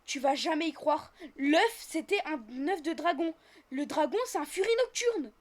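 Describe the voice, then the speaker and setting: High-pitched female gaming host, chatty, improvises roleplay and talks through every move. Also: expressive, moderate pace. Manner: chatty, narrates own actions as they happen. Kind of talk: casual improvised roleplay commentary while playing a game.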